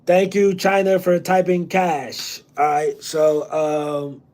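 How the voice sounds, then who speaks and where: A man's voice: on the high side, monotone, slow pace, darkish tone. A man from New Jersey hosting a loose livestream Q&A on real estate, slangy and repeating himself with many ums. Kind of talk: casual livestream Q&A.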